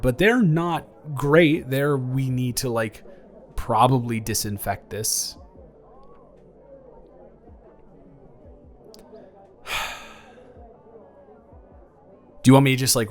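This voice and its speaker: Halting, caring young ex-boyfriend, played by a man. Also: medium pitch. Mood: concerned, hesitant. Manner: halting, stammering, casual. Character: caring, flustered young ex-boyfriend tending a fighter's scratches. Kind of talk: improvised intimate audio roleplay.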